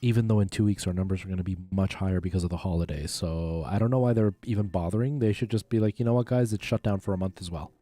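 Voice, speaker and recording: Guy in his 30s, kinda low voice, just chatting on a game stream, faint music behind. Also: fast talker, few pauses, dark tone. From Canada. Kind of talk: casual chat while playing a retro game on a livestream.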